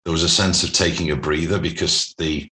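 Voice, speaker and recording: A man speaking as an executive guest in a business interview, explaining things in measured, articulate corporate phrasing. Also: deep voice, fairly even intonation, pushed and bright.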